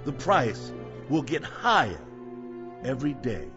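An actor playing a fervent escaped slave who addresses a crowd in deliberate, emphatic oratory in an audio drama. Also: male voice, mid-range voice, very animated, music in the background. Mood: fervent, persuasive. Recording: muffled, slightly noisy audio drama recording.

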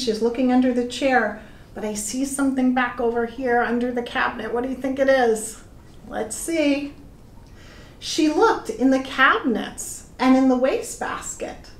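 A middle-aged woman reading a children's story aloud in a deliberate, expressive way, addressing young listeners. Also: on the high side. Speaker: pastor reading stories to children. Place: indoor room with plain walls. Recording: echoey room.

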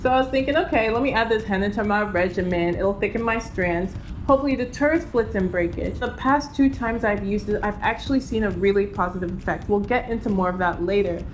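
A young woman in her twenties with a Nigerian accent, chatting about hair care in a lively, playful vlog with slangy asides. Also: medium pitch, a little fast, hardly any pauses, slight background noise. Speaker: natural hair vlogger. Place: indoor home studio.

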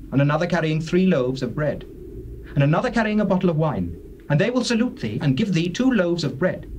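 A solemn male prophet declaims with command in a fairly high voice. His pitch rises and falls dramatically. The scripture drama plays from an old cassette with faint noise. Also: poor audio. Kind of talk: dramatized scripture, theatrical stage acting.

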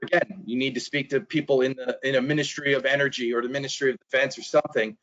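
Hoarse man presenting on a video call. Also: mid-range voice, flat delivery, fairly bright tone. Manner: halting, many fillers and restarts, clears throat. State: hoarse, losing his voice. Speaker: trade specialist presenter. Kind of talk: webinar presentation. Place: small office. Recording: dull lo-fi video call audio.

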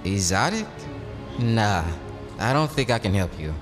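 Guarded young mechanic, lively pitch. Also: male voice, a little slow. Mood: wary. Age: young adult. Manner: guarded, dismissive. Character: wary young male mechanic, distrustful of police. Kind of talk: audio drama acting. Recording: long reverb, music underneath.

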